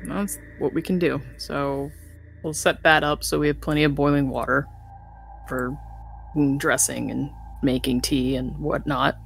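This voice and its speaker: Woman, very low pitch, gravelly fry, casual tabletop player talk, faint music. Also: fairly even intonation, measured pace. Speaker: tabletop roleplaying game player. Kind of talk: casual chat in play.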